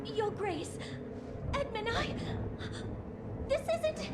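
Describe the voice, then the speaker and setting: A woman's voice: very high voice, expressive, extremely slow, very noisy, full character voice. A woman playing an anxious young duchess in a fantasy game, speaking in formal, archaic, dramatic phrases. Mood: frightened accusation. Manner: formal archaic speech, pleading, imperious. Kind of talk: fantasy video game acting. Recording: echoey room, loud music over voice.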